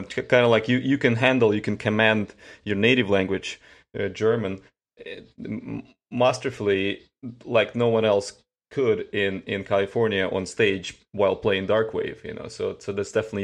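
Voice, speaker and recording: Male interviewer, lower voice, chatty and rambling. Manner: chatty, thinking aloud with many asides. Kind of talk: casual interview talk.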